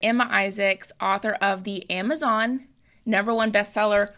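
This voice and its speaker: Bright-voiced woman hosting an interview. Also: mid-range voice, steady tone, moderate pace, slight background noise. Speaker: talk show host, interviewer. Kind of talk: conversational interviewing.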